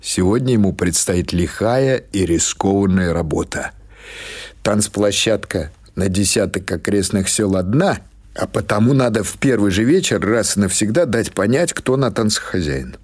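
Male audiobook narrator for literary prose; lower-pitched, husky voice, scripted storytelling delivery. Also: lively intonation, moderate pace, darkish tone.

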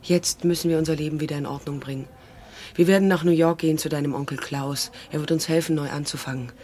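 An actress playing an insistent middle-aged mother who survived the war, speaking gravely and with measure in a radio drama. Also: low voice, steady tone, quick pace, warm tone, close to an everyday voice. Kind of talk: dramatic radio play dialogue.

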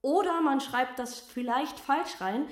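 A flustered midwife in her forties, with a fairly high voice. She rambles hesitantly and keeps justifying herself, as if giving testimony in a radio play. The room echoes noticeably. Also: unhurried, a little hiss or hum. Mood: flustered, apologetic. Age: 40s. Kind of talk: naturalistic radio drama testimony.

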